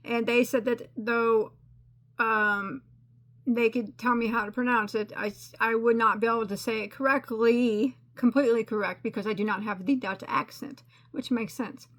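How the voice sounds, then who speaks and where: Bright-voiced chatty crafting woman. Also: a little high, fairly even intonation, normal speed. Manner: rambling and self-deprecating, with frequent restarts and laughs. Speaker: crochet channel host. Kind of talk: casual chatty crafting update.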